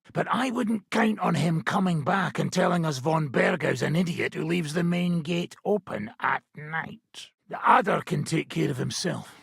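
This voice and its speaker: A gruff medieval mercenary leader for a video game. His voice is extremely husky and full of gravel, and it swings widely in pitch. He is blunt, sardonic and swears freely, like a soldier hardened by many battles. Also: fairly bright tone, no echo, studio quality, heavily acted. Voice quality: raspy, gravelly. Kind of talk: video game character dialogue.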